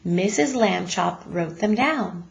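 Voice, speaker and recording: Teacher lady reading a kids story, slow and very expressive. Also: lowish voice, vocal fry, slight background noise. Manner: expressive, deliberate. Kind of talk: children's story read-aloud.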